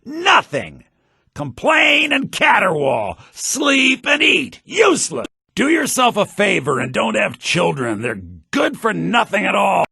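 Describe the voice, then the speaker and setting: An actor playing a haughty, grumbling fantasy townsman in a video game, theatrical and sardonic, in low-bitrate audio. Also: huge pitch swings, slow pace, gravelly, sharp tone, breathy edge, dry recording, shouting, studio quality, exaggerated voice. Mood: angry, bitter. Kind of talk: video game character voice lines.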